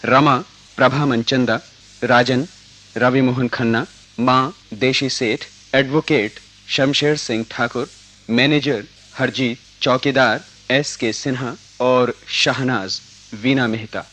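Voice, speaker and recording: Formal radio announcer guy, mid pitch, reads scripted stuff slow and deliberate. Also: fairly even intonation, pushed and bright, low-quality recording.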